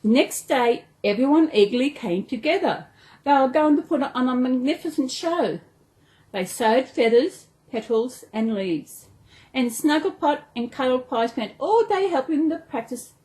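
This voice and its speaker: A woman with an Australian accent reads a children's story aloud in an animated way, stumbling now and then over words. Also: a little high, low-quality recording. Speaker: storyteller, channel host.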